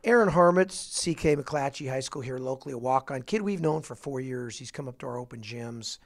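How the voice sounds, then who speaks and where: A middle-aged man, a basketball coach, talking through his roster in an office interview with a handheld mic, halting with fillers and restarts. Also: mid-range voice. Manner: halting, many fillers and restarts, upbeat emphasis on each name. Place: office, indoors.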